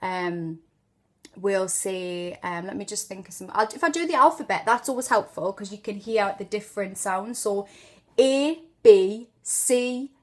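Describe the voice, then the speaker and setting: A woman's voice: medium pitch, expressive, unhurried. A woman from Newcastle with a Geordie accent, chatting through an unscripted accent tutorial and drawing out sample words to demonstrate them. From Newcastle upon Tyne, northeast England. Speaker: accent tutor and video host.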